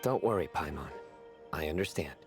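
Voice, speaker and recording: Deadpan, stoic young joker. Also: male voice, a bass voice, gravelly, full character voice. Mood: deadpan joking. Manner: deadpan, measured, dryly self-satisfied. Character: stern, stoic young man fond of terrible puns. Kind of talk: video game voice acting. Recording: faint music under the voice.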